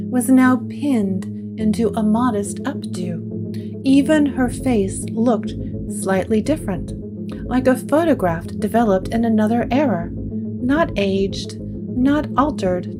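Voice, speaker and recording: A woman narrating a scripted gothic story with deliberate, dramatic pauses. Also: medium pitch. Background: plucked guitar music.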